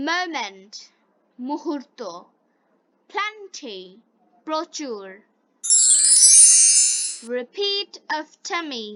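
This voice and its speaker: Woman english teacher, high voice, slow careful phrases for repeating, kinda lo-fi recording. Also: pushed and bright. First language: Bengali. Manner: deliberate, phrase-by-phrase enunciation. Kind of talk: slow read-aloud English lesson with repeat-after-me drills.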